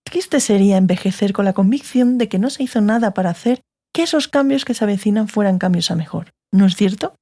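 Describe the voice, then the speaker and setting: Fantasy audiobook sorceress, female actor: stern, dry, authoritative, mid-pitched with plenty of vocal fry. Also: brisk, warm tone, lightly acted. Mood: grave. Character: stern, sardonic, commanding sorceress. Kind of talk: dramatized fantasy audiobook dialogue.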